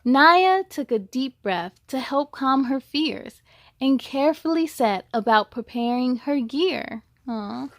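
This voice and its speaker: A woman reading a children's book aloud in an expressive, encouraging way, with chatty asides to young listeners. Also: sits high, takes it slowly, no echo.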